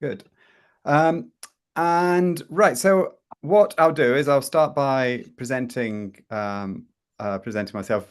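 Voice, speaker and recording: A middle-aged man presents over a video call from a room at home. His talk is loose and chatty, full of "um", "well" and restarts, at an average pitch for a man. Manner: chatty, with frequent fillers and restarts. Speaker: host presenting a product demo. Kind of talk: casual live presentation.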